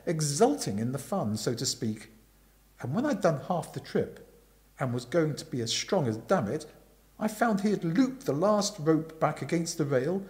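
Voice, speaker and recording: A man voicing an amiable, chatty young upper-class gentleman in a storybook reading, earnest and rambling. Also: a little high.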